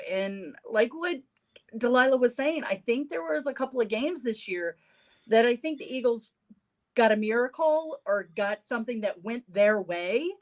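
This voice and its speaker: A woman panelist in a casual football chat who talks candidly and laughs mid-sentence. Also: medium pitch.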